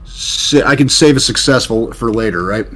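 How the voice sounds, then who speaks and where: A man's voice: medium pitch, animated, moderate pace, crowd noise. A man talking casually as a player in a tabletop role-playing game. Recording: faint background noise.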